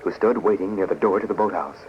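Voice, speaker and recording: A man's voice: sits low, fast talker, natural voice. A man narrating a 1940s radio drama as its announcer, in scripted style. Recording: dull, hissy 1940s radio recording.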